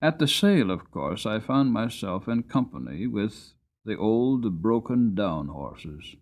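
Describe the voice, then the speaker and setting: Storybook narrator: long-suffering horse acted by a man, slightly low register, very animated intonation, deliberate and earnest. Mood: earnest, reflective. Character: weary, long-suffering male horse telling his life story.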